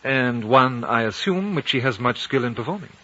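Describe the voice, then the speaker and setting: An actor playing an urbane, reserved British consul in a 1950s radio drama, politely understated and dryly formal. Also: male voice, medium pitch, expressive, moderate pace, sharp tone. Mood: wry, polite. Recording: dull 1950 radio recording.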